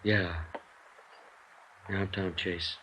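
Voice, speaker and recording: A desperate man on the run in a vintage radio thriller. His voice is low, his words terse and grim, with the menace of someone cornered and tired of running. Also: flat delivery, extremely slow, pushed and bright, slight background noise, heavily acted, rough recording. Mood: bitter, subdued. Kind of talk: 1950s radio drama acting.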